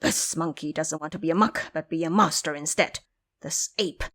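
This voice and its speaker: A woman's voice: sits low, moderate pace, a bit bright, voice pushed down. A woman voicing a simple-minded, gluttonous male pig monk in an audio drama, earnest and whiny. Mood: indignant grumbling.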